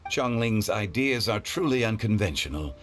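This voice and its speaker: Composed gentleman scholar, lowish pitch, measured, courteous, humble, video game. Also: animated, a little hiss or hum. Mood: calm, gracious.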